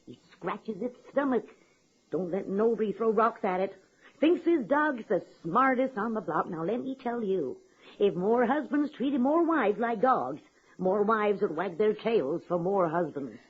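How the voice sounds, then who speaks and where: Husky, folksy woman, swooping and blunt. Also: mid-range voice, measured pace. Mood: wry, chatty. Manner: folksy, ungrammatical, drawling deadpan. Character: folksy, blunt, unworldly poor woman, good-natured and wry. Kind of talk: radio comedy drama acting. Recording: dull, lo-fi old radio recording.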